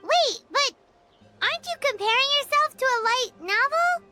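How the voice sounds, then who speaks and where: A woman voicing a tiny, childlike female fairy companion in a video game, squeaky, blunt and cheekily skeptical. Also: expressive, moderate pace, pushed and bright, faint music behind, heavily acted. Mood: cheeky, puzzled. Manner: talks of herself in third person, blunt. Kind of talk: video game character acting.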